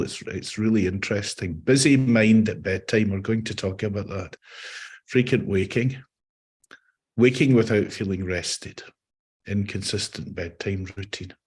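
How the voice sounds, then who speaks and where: Croaky-voiced man teaching meditation. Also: lowish voice. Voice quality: husky. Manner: calm, measured explaining. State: hoarse. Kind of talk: webinar presentation.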